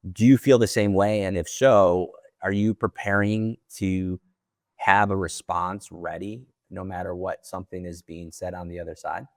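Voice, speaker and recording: A man's voice: sits low, fairly even intonation, normal speed, no room sound, clean studio sound. A man hosting a conversational interview, chatty and effusive, often doubling back on his words. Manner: chatty, effusive, repeats himself. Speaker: podcast host.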